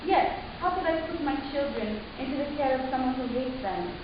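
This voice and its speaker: A woman playing a possessive, commanding female alien mother in a dramatic reading, with measured delivery, recorded far off in an echoey room. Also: high-pitched, animated, lo-fi sound, noisy background. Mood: reproachful. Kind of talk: dramatic reading of a literary story.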